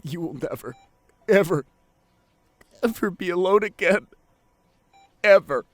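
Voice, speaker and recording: A man acting a devoted, guilt-ridden boyfriend at a bedside in an intimate ASMR roleplay, speaking tenderly and haltingly with a lot of emotion. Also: sits high, animated, no echo. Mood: earnest, pleading. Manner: tender, halting, emotional delivery with repeated phrases.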